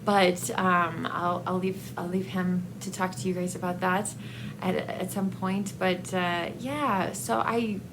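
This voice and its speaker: A woman chatting casually about books as a vlogger, in a hesitant, rambling, halting way. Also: fairly low pitch, fairly even intonation, background noise. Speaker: book vlogger. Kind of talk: casual book review chat to camera.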